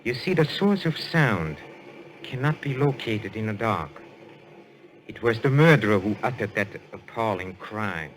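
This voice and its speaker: An actor playing a suave, assured detective in a radio mystery, speaking in a courtly, deliberate way. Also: male voice, on the low side, lively intonation, rough recording. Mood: grave, revealing. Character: suave, assured French detective, male, posing as a medium. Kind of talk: old-time radio mystery acting.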